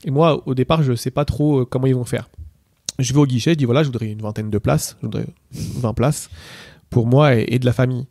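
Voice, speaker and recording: A man tells a story at a rapid clip, his voice low-toned, gravelly and mellow. He keeps restarting phrases and dropping in fillers. The sound is close and dry, with no room around it. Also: no room sound. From Portugal. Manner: rapid anecdotal telling with many fillers and restarts. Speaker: interviewee, PSG ultra supporter. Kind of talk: casual spoken storytelling.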